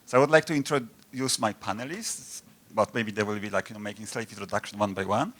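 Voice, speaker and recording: A man from Poland moderating an academic panel, introducing the speakers in halting, informal phrasing. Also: on the low side, fairly bright tone.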